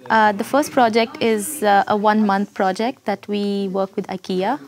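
A woman's voice: mid-range voice, fairly even intonation, moderate pace. A woman in her twenties from India with an Indian accent, speaking unscripted as a design student in an interview. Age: 20s. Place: busy classroom studio, indoors. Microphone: lapel mic. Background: soft background music.

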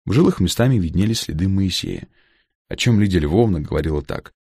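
A man reading a humorous literary story as an audiobook narrator, with a gravelly voice and wry, dry irony. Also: low-pitched, animated, a little fast, a little mellow, dry recording.

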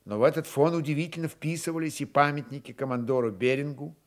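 A man narrating a literary radio play in an expressive, theatrical storytelling manner. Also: fairly high pitch, fast talker.

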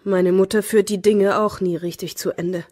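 Actress as a husky, emotionally guarded woman. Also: mid-range voice, steady tone, moderate pace, a little mellow, lightly acted. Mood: cold, detached. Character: cold, emotionally detached woman with a fearful streak. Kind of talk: German radio crime drama acting.

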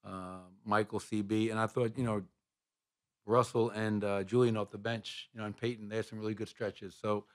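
A middle-aged man with a low voice fields questions from reporters after a game, speaking into a table microphone. His delivery stays flat and level, steady and measured, with plenty of "uh" and "you know" between points. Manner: measured, even answers with frequent fillers. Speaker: basketball head coach. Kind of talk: postgame press conference answers. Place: press room in front of a sponsor backdrop.

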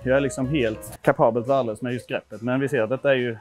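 A man's voice: mid-range voice, steady tone. A man in his twenties from Sweden chatting casually to viewers in a gym vlog, informal, sweary and self-deprecating. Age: 20s. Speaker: vlogger testing his lifts at a gym. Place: indoor gym. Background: music.

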